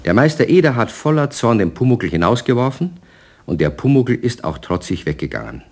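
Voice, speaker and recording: A man narrating a children's radio play as an expressive, colloquial storyteller. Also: medium pitch, a little fast.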